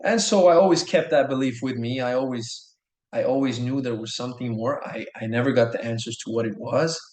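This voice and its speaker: A man's voice: mid-range voice, brisk, a little mellow. A man in his thirties from Norway, telling his story as a podcast guest in an earnest, enthusiastic way, often repeating himself. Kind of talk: casual storytelling. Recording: echoey room.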